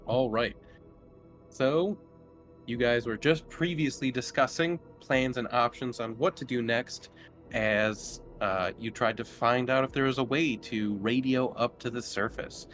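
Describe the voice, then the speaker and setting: A man's voice: medium pitch, fairly bright tone. Hesitant man running a tabletop game. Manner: hesitant, halting delivery. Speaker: game master. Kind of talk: casual game narration and rules rulings. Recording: faint music under the voice. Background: faint music.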